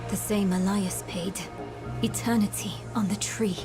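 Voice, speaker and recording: Woman as a grave, slow-speaking companion. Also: medium pitch, steady tone, music in the background, natural voice. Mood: grave, worried. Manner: slow, foreboding. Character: grave, sombre female fantasy companion. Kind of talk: cinematic fantasy game acting.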